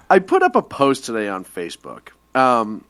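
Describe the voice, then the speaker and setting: A man's voice: mid-range voice, huge pitch swings, a little slow, fairly bright tone, dry recording. A man co-hosting a radio talk show, teasing his partner and laughing often through political banter.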